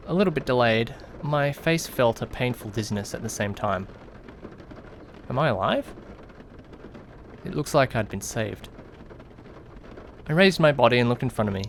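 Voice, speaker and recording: A man acts out an anxious young man telling his own story in an audio drama. He is dramatic and exclamatory, questioning himself and easily panicked. His voice sits at a middling male pitch over background noise. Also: a bit bright. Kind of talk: first-person audio drama narration with acted dialogue.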